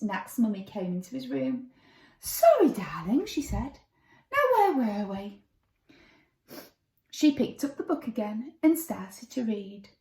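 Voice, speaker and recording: A woman narrates a children's story in a playful, dramatic style. Her voice is high and swoops with lots of expression, and the room around her is noticeably echoey. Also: fairly bright tone. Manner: dramatic, playful with sound effects.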